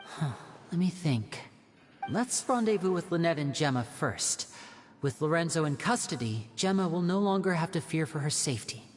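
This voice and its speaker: Lowish-voiced, poised young magician. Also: female voice, animated, moderate pace, faint music behind. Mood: thoughtful. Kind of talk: English video game voice acting.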